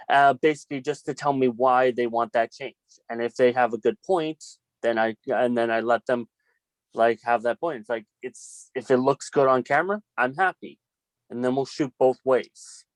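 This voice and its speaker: A man's voice: mid-range voice, moderate pace, no room sound. A man speaking as a student filmmaker in a casual group discussion. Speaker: student filmmaker in an online class meeting.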